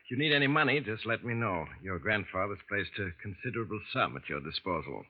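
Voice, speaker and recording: An actor playing a courteous, businesslike middle-aged family attorney in a vintage radio drama. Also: male voice, on the low side, lively intonation, fast talker. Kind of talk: 1940s radio acting. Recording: muffled old radio broadcast.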